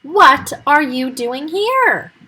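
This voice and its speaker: A big, blustering male wolf from a children's storybook, as a woman reads him aloud. He booms out his lines firmly. The voice sits up high and swings around a lot. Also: very animated, drawn-out words, pushed and bright, full character voice. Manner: booming and firm. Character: big bossy male wolf, blustering but good-hearted.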